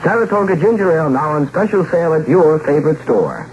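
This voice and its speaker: A man's voice: on the high side, animated, fast talker, a little mellow. An American man reading a scripted 1960s radio advertisement with polished sales delivery. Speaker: station announcer. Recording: dull, slightly noisy old radio recording.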